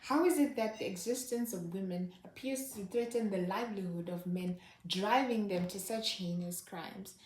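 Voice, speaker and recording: A woman delivers scripted satirical commentary in a sardonic, knowing tone. Her voice sits at an ordinary middle pitch, and the recording carries a noticeable roomy echo. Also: darkish tone. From Zimbabwe. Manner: sardonic, wry. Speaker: host. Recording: echoey room.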